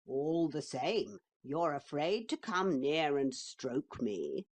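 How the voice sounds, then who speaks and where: A woman's voice: deep voice, sing-song, darkish tone, exaggerated voice. A woman voicing a sly, boastful male talking horse that mocks and coaxes a boy. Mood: mocking. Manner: slow, coaxing, mocking.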